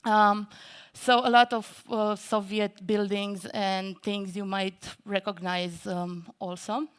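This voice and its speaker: A middle-aged woman from Romania speaks from a large event stage with a smooth, clear voice at a middle pitch. She talks in a nervous, self-deprecating way and fills her sentences with ums and uhs. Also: little pitch movement, slow. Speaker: conference speaker. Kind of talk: keynote talk.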